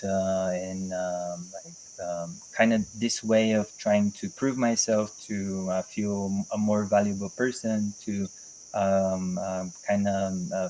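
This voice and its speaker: Guest: man, low voice, thinks aloud with many pauses, video-call sound. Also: a little mellow, slight background noise, low-quality recording. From Bulgaria. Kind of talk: casual interview. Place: home in a mountain forest.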